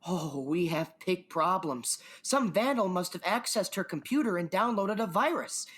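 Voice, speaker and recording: A woman's voice: lowish voice, lively intonation, normal speed, breathy edge. A woman acting in a radio play as a frantic, worried office worker. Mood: urgent, alarmed. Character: frantic, breathless-with-worry female office worker.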